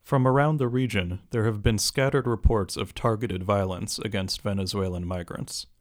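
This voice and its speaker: A man reading a scripted radio news report in a measured, even way. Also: on the low side.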